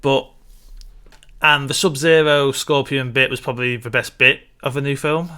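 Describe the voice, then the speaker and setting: Clear, bright-voiced man chatting. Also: medium pitch, fairly even intonation, normal speed. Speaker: podcast co-host. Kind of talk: casual film discussion.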